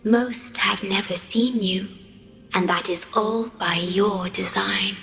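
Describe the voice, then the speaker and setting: Game guardian woman: gentle, sorrowful, breathy yet bright, slow delivery in a roomy echo. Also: mid-range voice, steady tone, a little hiss or hum. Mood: solemn, wistful. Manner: soft, breathy, solemn and measured delivery. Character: gentle, sorrowful female guardian of an island, devoted and reserved. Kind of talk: video game character acting.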